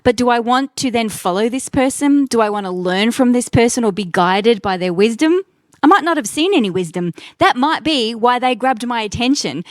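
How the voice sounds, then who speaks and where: A woman's voice: a little high, no room sound, clean studio sound. A woman speaking on a live panel as a keynote speaker, reflective and candid in her answers. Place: convention stage.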